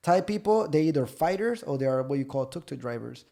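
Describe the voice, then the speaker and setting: Gravelly guy, dark mellow tone, rambling fight stories, keeps restarting sentences. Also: on the high side, a little fast. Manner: animated storytelling, acts out quoted voices; loose, repetitive phrasing with many restarts. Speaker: pro MMA fighter guest. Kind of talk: casual podcast chat.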